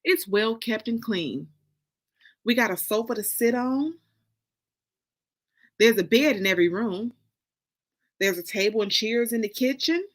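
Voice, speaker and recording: A woman's voice: on the high side, lively intonation, a little slow, long pauses. A woman voicing an indignant, scolding mother in a dramatic book reading, with emphatic, folksy, confrontational phrasing.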